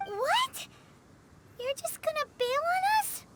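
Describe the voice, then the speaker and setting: A woman voicing a tiny, cheeky, childlike fairy companion in a video game, squeaky and blunt. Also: animated, slow pace, very noisy, full character voice. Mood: puzzled, cheeky. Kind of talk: video game cutscene voice acting.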